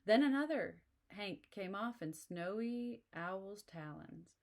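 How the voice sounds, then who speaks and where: A woman reading a children's picture book aloud, pausing to explain the story to young listeners in a friendly way. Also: medium pitch, expressive, vocal fry.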